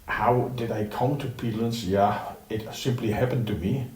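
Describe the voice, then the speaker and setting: An older Dutch-born man with a low, mellow voice talks in a plain, direct manner, with a dry humor to his answers. He sounds set back from the mic in a roomy space. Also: steady tone, measured pace, far from the mic, a little hiss or hum. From Netherlands. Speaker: interview guest, retired professor. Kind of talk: reflective interview answers.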